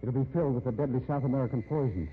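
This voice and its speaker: A man's voice: mid-range voice, racing, close to an everyday voice. An actor playing a resigned, sardonic man accused of murder in a 1950s radio mystery. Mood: bitter sarcasm. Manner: sardonic, fatalistic. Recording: tinny, noisy old radio recording.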